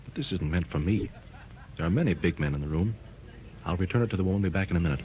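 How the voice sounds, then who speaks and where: An actor playing a steady, heroic lord who speaks calmly, firmly and plainly, in 1930s radio acting on a dull old recording. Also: male voice, deep voice, fast, natural voice. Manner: calm, firm, plain-spoken. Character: steady heroic jungle lord in evening dress. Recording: dull, faintly hissy 1930s transcription.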